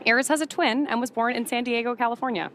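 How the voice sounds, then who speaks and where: A woman's voice: on the high side, steady tone, fast talker, a bit bright, a little hiss or hum. A woman working as a live space mission commentator, giving informative commentary that is partly read from notes.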